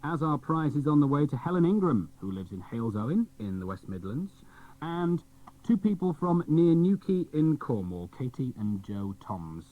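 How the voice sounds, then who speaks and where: A man's voice: a little high, sing-song, normal speed. A man presenting scripted links on a children's radio programme. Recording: muffled, band-limited old cassette radio recording.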